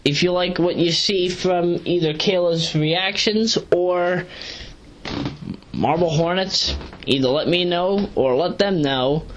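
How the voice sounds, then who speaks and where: A woman's voice: fairly low pitch, slow, a little hiss or hum, low-quality recording. A woman giving a casual, rambling audio review, sleep-deprived but hyper, digressing and correcting herself in slangy talk as she paces around. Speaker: video reviewer, vlogger. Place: pacing around while recording.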